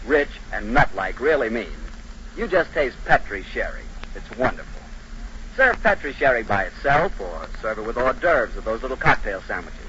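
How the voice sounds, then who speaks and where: An American male radio host from the 1940s delivers scripted sponsor pitches and banter. His voice swings expressively and is chatty and salesmanlike, heard through a muffled old broadcast recording. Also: sharp tone, slight background noise.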